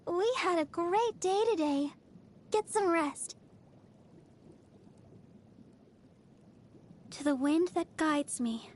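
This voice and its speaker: A woman's voice: very high-pitched, expressive, measured pace. A woman voicing a cheerful, devoted young girl idol healer in a video game, sweet, earnest and bubbly. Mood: earnest, prayerful. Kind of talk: video game character voice lines.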